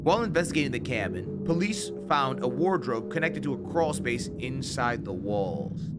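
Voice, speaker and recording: A man narrates a horror documentary from a script. His delivery is formal and matter-of-fact, and loud music runs beneath it. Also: medium pitch, lively intonation, moderate pace.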